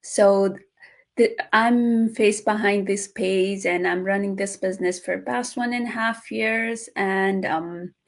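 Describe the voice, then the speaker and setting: A middle-aged woman from Nepal hosting a live online talk show, speaking haltingly with many fillers and restarts. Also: mid-range voice, steady tone. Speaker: host.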